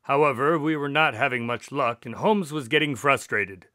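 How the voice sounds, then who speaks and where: A man's voice: medium pitch, moderate pace, sharp tone, no room sound, studio quality, natural voice. A man playing an affable doctor, a detective's sidekick who recounts cases politely in a radio drama. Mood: storytelling. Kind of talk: radio drama acting and storytelling.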